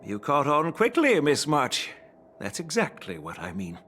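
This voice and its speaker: Shrewd old general, expressively inflected. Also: male voice, a little high, wildly swooping pitch, a little slow, bright, forceful tone, slight background noise, exaggerated voice. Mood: kindly persuasive. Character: measured, shrewd old grandfather general of a fantasy army. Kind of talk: dramatic video game voice acting.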